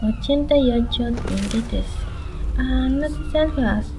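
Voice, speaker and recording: Girl doing a casual let's play, high voice, kinda hesitant, clear game music behind her. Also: unhurried. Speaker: gaming channel host playing a video game. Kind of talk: casual let's play commentary, reading game text aloud.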